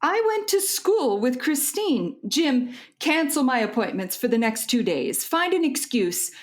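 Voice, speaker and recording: A woman plays a brisk, commanding president for radio drama. Her voice is high and lively, and she hands out orders decisively. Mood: urgent, commanding. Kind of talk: radio play acting.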